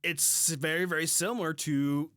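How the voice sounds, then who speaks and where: A man's voice: a little high, steady tone, normal speed, bright, forceful tone, dry recording, studio quality. An American man hosting a podcast, chatting casually in informal slang and often restarting his phrases. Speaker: podcast host. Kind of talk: casual music podcast chat.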